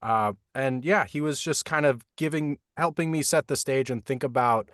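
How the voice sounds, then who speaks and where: A man who works as a voice actor, explaining his craft in a relaxed, thoughtful way with many fillers, from a home recording booth. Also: mid-range voice, moderate pace, no room sound, studio quality. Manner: thoughtful, frequent fillers like "like" and "you know". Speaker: voice actor guest. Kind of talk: casual interview answers.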